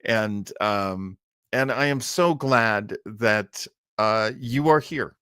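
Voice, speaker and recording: An American man hosting a casual livestream from his home studio, talking in a halting, earnest, self-deprecating way. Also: lowish voice, fairly bright tone, clear, resonant tone, no room sound. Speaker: live show host.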